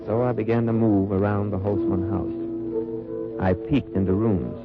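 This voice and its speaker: A man's voice: lowish voice, steady tone, a little slow. An actor playing an undercover wartime agent posing as a wine merchant, narrating his mission in an old radio drama. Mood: wary, reflective. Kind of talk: 1940s-50s radio drama acting and narration. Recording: dull old radio recording with music.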